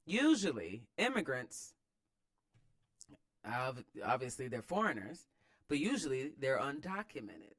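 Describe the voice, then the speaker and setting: A man's voice: mid-range voice, sing-song, unhurried, pauses often, a bit bright. An American man giving casual, opinionated commentary as host of a read-aloud, emphatic and slangy, repeating phrases for emphasis.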